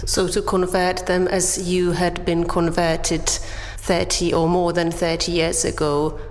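A woman's voice: on the low side, little pitch movement, measured pace. A woman interviewer presenting and putting prepared questions in a formal interview. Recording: reverberant hall sound.

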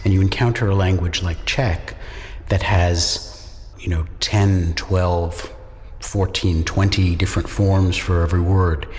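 A man who teaches Czech at a university, answering interview questions in a measured, reflective way with pauses. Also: low-pitched, steady tone, darkish tone, in a large hall. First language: English. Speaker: university lecturer in Czech studies.

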